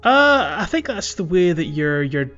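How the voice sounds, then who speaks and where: A man co-hosting a casual gaming podcast, speaking in hesitant, halting phrases. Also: fairly high pitch, sing-song, a bit bright, dull audio, soft background music. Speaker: podcast co-host. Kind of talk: casual video game discussion.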